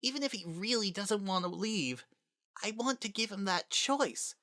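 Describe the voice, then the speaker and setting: A woman playing an earnest, anxious, kind young boy who speaks plainly and haltingly in a dramatic reading. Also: mid-range voice, expressive, a bit bright, dry recording, studio quality, natural voice. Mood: hopeful, earnest. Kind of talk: dramatic reading of fan fiction.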